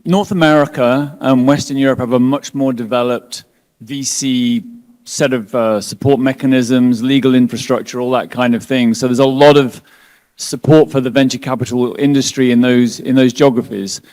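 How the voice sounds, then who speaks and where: Man, mid-pitched voice, halting with restarts, self-deprecating, reverberant hall. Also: fairly even intonation, a little mellow. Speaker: lender panelist. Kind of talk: panel discussion.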